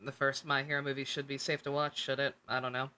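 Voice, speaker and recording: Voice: medium pitch, little pitch movement, fast, sharp tone, clear, resonant tone. A tired livestreamer chatting casually to viewers, rambling and thinking aloud with frequent swearing. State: tired, short on sleep. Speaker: game livestreamer.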